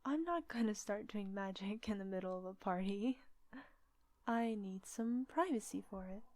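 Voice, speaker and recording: A woman playing a confident, teasing witch in an intimate audio roleplay, soft and breathy with frequent drops into a whisper. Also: medium pitch, lightly acted. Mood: teasing, challenging. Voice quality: breathy, often dropping to a whisper. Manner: teasing, coaxing, unhurried.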